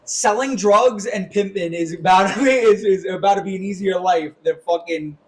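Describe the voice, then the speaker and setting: Ranting young man, higher voice. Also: normal speed. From New York. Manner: animated, ranting, swears often. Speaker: podcast co-host. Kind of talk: casual podcast chat.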